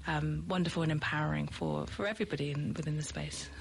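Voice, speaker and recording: A woman's voice: low voice, fairly even intonation, quick pace, creaky, a little hiss or hum. British woman, reflective and laughing lightly. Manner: warm, reflective, many fillers and light laughs. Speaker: teacher. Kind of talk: interview answers.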